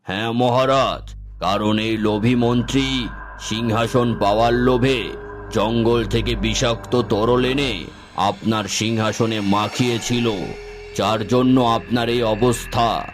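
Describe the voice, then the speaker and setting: A man playing a wise, calm holy man who advises a king, in a solemn, moralizing cartoon style. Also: medium pitch, slow pace, natural voice. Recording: loud music under the voice. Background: music.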